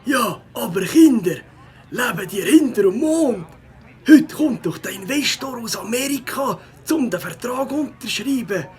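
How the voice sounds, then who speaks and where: A woman's voice: fairly high pitch, animated, unhurried, a little mellow. A woman playing a chatty, know-it-all villager in a children's audio drama, exclaiming incredulously as if talking down to children. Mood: incredulous, informing.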